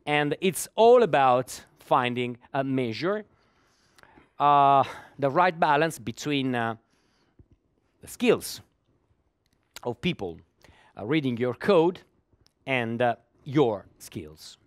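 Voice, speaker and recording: A man's voice: mid-range voice, lively intonation, slow, long pauses, clear, resonant tone, no echo. A middle-aged man gives a technical conference talk, often repeating words while he searches for the next one. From Italy. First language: Italian. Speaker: conference speaker.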